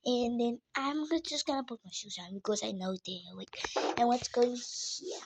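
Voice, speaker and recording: A woman with a South African Afrikaans accent, a native Afrikaans speaker, chatting casually to viewers in a halting, simple way. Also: a little high, expressive, vocal fry, noisy background. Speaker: vlogger. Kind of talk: casual vlog chat to viewers.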